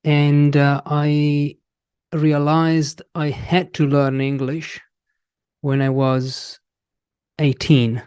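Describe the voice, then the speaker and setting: An Italian man in his thirties speaks English with a clear Italian accent. He talks slowly in a casual interview, pausing often and restarting his sentences. The recording is dry, with no room sound. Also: fairly high pitch, flat delivery, darkish tone, no room sound. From Italy. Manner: slow, halting, many restarts and fillers. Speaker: guest, English teacher.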